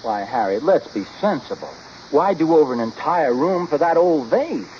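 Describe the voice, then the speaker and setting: A man's voice: a little high, very animated, moderate pace, a little mellow. Through a muffled, slightly noisy vintage radio recording comes an exasperated suburban husband. His mid-range voice moves up and down freely as he reasons, hesitates and drops dry jokes in old sitcom style. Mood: exasperated pleading. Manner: hesitant, drily joking. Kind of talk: 1950s radio sitcom acting.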